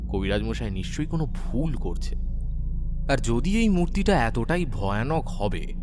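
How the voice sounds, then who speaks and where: A man narrates a horror story in a medium-pitched voice over clear background music. His delivery is dramatic and suspenseful, with deliberate phrasing.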